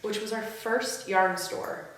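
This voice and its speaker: A young woman with a hoarse, weak voice from laryngitis, chatting casually as a vlog co-host. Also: mid-range voice, steady tone, slow pace, fairly bright tone, heavy reverb, far from the mic, poor audio. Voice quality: weak, whispery voice. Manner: chatty, laughs often. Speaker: podcast co-host.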